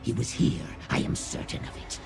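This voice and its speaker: A man voicing a small sly rat-like creature in a fantasy video game, raspy and nasal, fawning and snide in a theatrical way. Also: background noise, natural voice. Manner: theatrical drawn-out phrasing, fawning to master. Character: small sly male Daedrat creature, servile, snide, vengeful.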